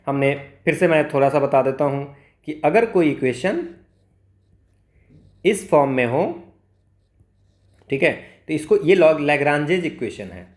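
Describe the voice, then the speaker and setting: An echoey room recording of a man with an Indian accent and a slightly higher-pitched voice. He teaches like an exam tutor, explaining informally one step at a time. He switches between two languages and often adds small checks that the learner is following. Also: expressive, a little slow, pauses often. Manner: informal, step-by-step, checks understanding with frequent tags. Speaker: maths teacher for exam preparation. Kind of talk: explanatory lesson mixing Hindi and English.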